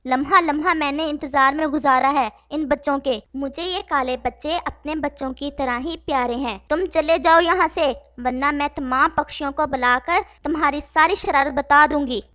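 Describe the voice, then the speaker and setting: High female voice, woman acting as cartoon mother sparrow, emphatic, protective, dry close sound. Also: normal speed, hardly any pauses, pushed and bright, dry recording. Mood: defiant. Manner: emotional, pleading, emphatic. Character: protective, anguished mother sparrow, tender and defiant. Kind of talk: Hindi moral-story cartoon dubbing.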